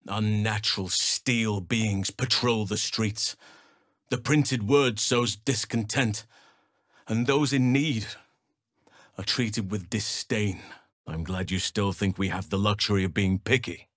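A wise druid from a fantasy video game, gentle yet solemn, with a wild side. His voice is fairly low and recorded close and dry. He phrases things formally and earnestly, in measured sentences. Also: steady tone, no echo. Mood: solemn, thoughtful. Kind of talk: video game character acting.

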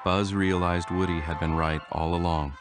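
Storybook narrator: man, very low voice, steady read-aloud delivery, music underneath. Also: moderate pace. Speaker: storybook reader. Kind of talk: reading a children's storybook aloud.

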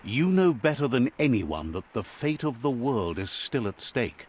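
An actor playing a solemn storybook narrator of a fantasy video game, speaking in a measured, grave way. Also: male voice, medium pitch, very animated, a little mellow, a little hiss or hum.

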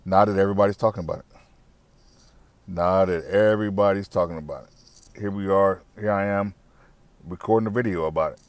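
A man's voice: low-pitched, fairly even intonation, fairly quick, long pauses, no echo. A middle-aged man vlogging from a parked car through an earbud mic, ranting bluntly with slang and repeating his points. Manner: blunt, repetitive, preachy rant with slang and cussing. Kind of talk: casual commentary to camera. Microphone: wired earbud mic.

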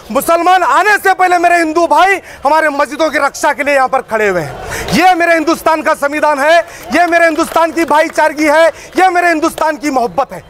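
A man gives an impassioned, forceful public statement as an activist, speaking emphatically outdoors to a news camera. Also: extremely high pitch. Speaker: community activist giving a press statement. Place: busy open street outside shopfronts. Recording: outdoor street noise.

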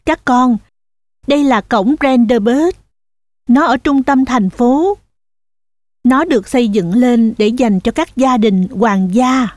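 A woman's voice: a little high, slow, darkish tone, no room sound. A woman voicing a patient, instructive mother goat in a children's cartoon dub. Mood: patient explaining.

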